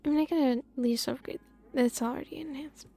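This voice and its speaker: A woman's voice: sits high, fairly even intonation, fairly quick, warm tone. A woman giving casual live game commentary, playfully muttering to herself with little sound-word fillers. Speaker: streamer.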